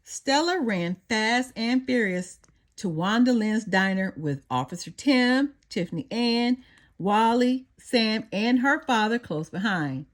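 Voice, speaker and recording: Female children's storybook narrator, expressive, higher-pitched, with wide swings in intonation. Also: slow pace. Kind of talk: expressive read-aloud narration.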